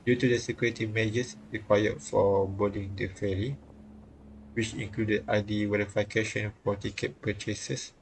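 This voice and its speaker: A man's voice: lowish voice, little pitch movement, moderate pace, slight background noise, rough recording. A man streaming a visual novel, reading the game text aloud and often stumbling and rereading phrases.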